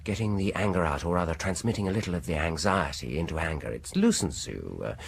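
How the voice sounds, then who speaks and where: An actor playing a probing, falsely polite British dentist in a radio horror drama, with a husky voice and an insinuating, deliberate manner. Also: male voice, low voice, expressive, full character voice. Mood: slyly needling. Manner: deliberate, insinuating, falsely soothing, chuckling at his own remarks.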